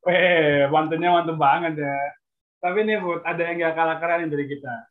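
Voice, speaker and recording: A man's voice: fairly high pitch, moderate pace. A young man working as an event MC, upbeat and playful, bantering with his co-host on a video call. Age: young adult. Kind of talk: lively MC banter. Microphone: video call webcam. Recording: tinny phone-like video call audio.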